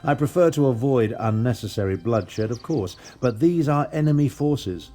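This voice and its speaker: An actor playing a composed, principled covert operative in a fantasy video game, briefing an ally in a measured, formal, cautious manner. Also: male voice, medium pitch, lively intonation, dark tone, soft background music, lightly acted. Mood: grave, cautious.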